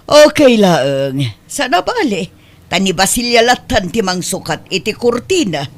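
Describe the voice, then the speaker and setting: A woman's voice: mid-range voice, very animated, a little slow. A woman playing a commanding but generous lady of the house in an Ilocano comedy drama. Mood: easygoing, generous. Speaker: voice actress.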